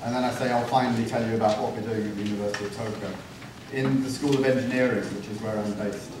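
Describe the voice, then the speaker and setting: A man giving a conference panel talk, relaxed and self-deprecating with light humor. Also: lowish voice, fast talker, background noise. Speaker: university panelist. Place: large conference room. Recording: echoey hall, distant mic.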